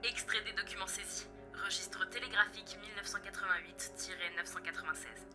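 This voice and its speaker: A woman's voice: medium pitch, steady tone, brisk. Formal woman reading documents over music. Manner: formal, clipped, list-like delivery. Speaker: reader of document excerpts. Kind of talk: scripted reading of official documents.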